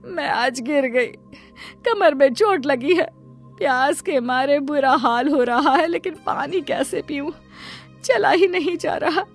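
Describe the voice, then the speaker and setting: A woman's voice: sits high, lively intonation, unhurried, soft background music. A woman voicing a doting, self-pitying middle-aged mother in a Hindi cartoon moral story, fussing and pleading melodramatically. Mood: wailing in pain.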